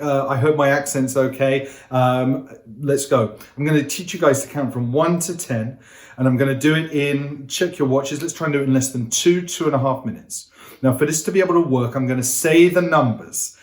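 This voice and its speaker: An upbeat man teaching to camera, talking straight to his audience and coaxing them to take part. His voice sits at an everyday middle pitch. It carries a roomy echo. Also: brisk. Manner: upbeat, coaxing, addresses viewers directly. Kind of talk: energetic interactive lesson to camera.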